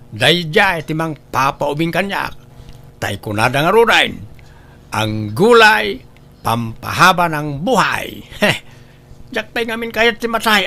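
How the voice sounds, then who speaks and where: Casting: male actor as cocky, nagging old Ilocano husband, high-pitched and bright, for comedy drama. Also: heavily acted. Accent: Ilocano Filipino. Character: boastful, health-obsessed old husband and veteran driver, nagging, cheerful.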